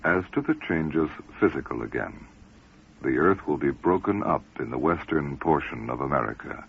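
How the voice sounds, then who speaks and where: On a tinny old radio recording, a man plays a solemn trance prophet. The voice is extremely deep and creaky, with formal, portentous phrasing. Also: exaggerated voice. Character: solemn male psychic reading prophecies in trance. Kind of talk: dramatized reading of prophecy.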